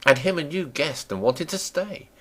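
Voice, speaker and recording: A man's voice: fairly high pitch, animated, normal speed, a bit bright, slightly rough, pitched above the performer's own voice. Man putting on a fussy lilting woman innkeeper. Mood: exasperated. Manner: polite to guest, grumbling about help. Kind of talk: dramatic audiobook character voice.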